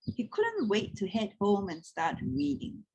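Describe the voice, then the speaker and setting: A woman reading a children's picture book aloud in an expressive way, as if to young listeners. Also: medium pitch, a little slow, lo-fi sound, a little hiss or hum. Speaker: read-aloud storyteller.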